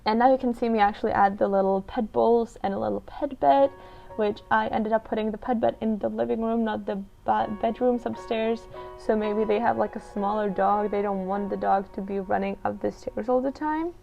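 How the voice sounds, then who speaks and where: A woman's voice: medium pitch, fairly even intonation, normal speed, creaky, warm tone. A woman from the Czech Republic with a Czech accent gives a casual, chatty, unscripted voiceover as a video creator, explaining her choices as she goes. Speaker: video creator narrating a game build. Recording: dull lo-fi voiceover with music. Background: background music.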